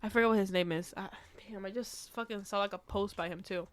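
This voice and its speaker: A woman's voice: mid-range voice, fairly even intonation, fast, dry recording. A woman co-hosting a casual comedy podcast, talking bluntly in heavy slang with plenty of swearing and playful exaggeration. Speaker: podcast co-host.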